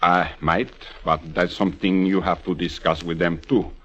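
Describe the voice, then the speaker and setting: A guarded foreign villain in vintage radio drama. His voice is very low and his phrasing stiff, formal and evasive. The recording is dull and old-fashioned. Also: steady tone, moderate pace, fairly bright tone. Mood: guarded, polite. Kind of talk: 1940s-50s radio drama acting. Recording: muffled vintage radio broadcast.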